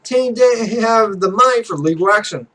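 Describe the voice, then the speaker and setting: A man voicing a cocky, joking young esports pro from a visual novel game, with animated, wry storytelling. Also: high voice, moderate pace.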